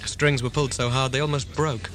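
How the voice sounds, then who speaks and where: An actor playing an embittered robot starship captain in a science fiction audio drama, speaking in a formal, measured, gloomy way. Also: male voice, medium pitch, little pitch movement, background noise, close to an everyday voice. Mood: weary, resigned.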